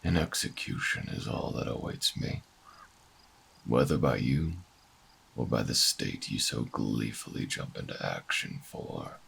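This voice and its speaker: A man playing a bitter, defiant older human revolutionary in a sci-fi audio drama, speaking in a gravelly, husky voice with theatrical, brooding delivery. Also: a bass voice, lively intonation, a bit bright, slight background noise, full character voice. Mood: grim resignation. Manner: dramatic, brooding, halting monologue. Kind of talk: audio roleplay drama acting.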